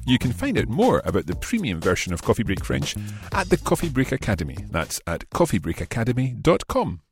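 A man hosting a language lesson, explaining example phrases piece by piece with careful, measured articulation. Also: mid-range voice, huge pitch swings, over music. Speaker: language teacher and host.

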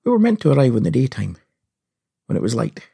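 Husky, animated male storyteller. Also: medium pitch, fast. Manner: playful, comic understatement. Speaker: podcast host and storyteller. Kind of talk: animated first-person storytelling for children.

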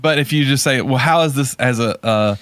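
A man co-hosting a casual podcast chat about movies, speaking in a halting, rambling way and often correcting himself. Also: medium pitch, a bit bright, dry recording. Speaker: podcast co-host.

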